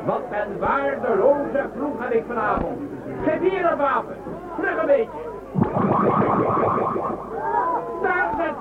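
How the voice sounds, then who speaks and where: An actor playing an arrogant, imperious emperor of a space empire in a radio drama, scornful and commanding. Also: male voice, very high voice, expressive, a little slow, recorded from a distance, phone-quality sound, very noisy. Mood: excited, barking. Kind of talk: Dutch radio drama acting. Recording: thin band-limited radio recording, crowd behind.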